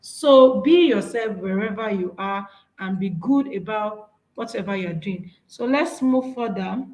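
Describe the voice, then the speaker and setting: A woman's voice: mid-range voice, lively intonation, a little slow, a little mellow, roomy sound. A woman with a Nigerian accent, whose first language is Yoruba, giving an earnest, encouraging workshop talk with slides, often restarting her phrases. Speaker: speaker.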